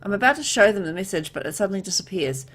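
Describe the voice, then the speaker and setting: A woman's voice: mid-range voice, lively intonation, very fast. A woman reading a visual novel aloud as she plays, mixing narration with casual asides.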